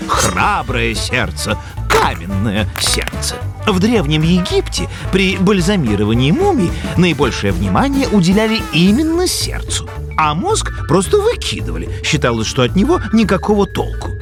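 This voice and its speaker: A man's voice: high voice, full character voice. A man voicing a pedantic, sardonic know-it-all scientist creature in a cartoon, lecturing pompously as he explains science. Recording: music behind the voice.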